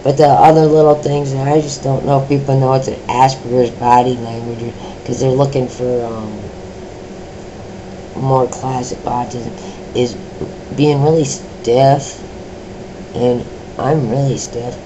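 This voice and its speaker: A middle-aged woman with a deep voice, sharing her own experiences in a personal vlog, speaking slowly with many pauses, ums and restarts. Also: flat delivery, background noise. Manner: slow, halting talk with many fillers and restarts.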